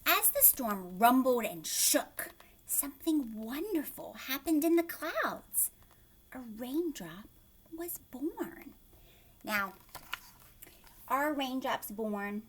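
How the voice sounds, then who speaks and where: A woman's voice: high voice, sing-song, slow. A woman teacher reading a picture book aloud to young children, expressively, with questions and asides to her listeners.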